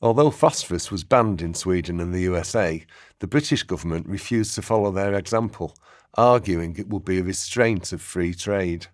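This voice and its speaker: A man's voice: low-pitched, moderate pace, dry recording, clean studio sound. A man narrating a historical radio play in a scripted documentary style.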